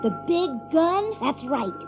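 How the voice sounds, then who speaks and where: An excited little kid in an audio play, really high and swoopy, music behind. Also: slow pace, full character voice. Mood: earnest. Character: young children on a fantasy adventure, eager and bold. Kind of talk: audio drama acting.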